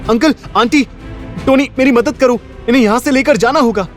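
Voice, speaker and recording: A man's voice: extremely high pitch, a little fast, faint music behind, voice pushed up. A man voicing a frightened young college woman in an audio drama, pleading desperately and breathlessly. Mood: urgent. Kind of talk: Hindi audio drama acting.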